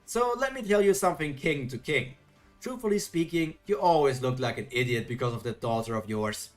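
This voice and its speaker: A man's voice: mid-range voice, fairly bright tone, natural voice. A man reading the lines of a scornful female demon king who taunts her rival. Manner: sneering, condescending.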